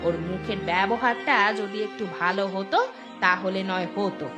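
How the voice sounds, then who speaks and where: A woman's voice: mid-range voice, moderate pace, over music, natural voice. A woman voicing a concerned, conciliatory village wife in a moral cartoon, with a coaxing, questioning manner. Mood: agreeing. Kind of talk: Bengali moral cartoon voice acting.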